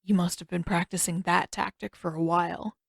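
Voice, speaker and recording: A woman voicing a guarded, moody teenage prince, speaking in a terse, grudging, low-key way. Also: little pitch movement, a little fast, vocal fry, no room sound, clean studio sound. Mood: wry, tired.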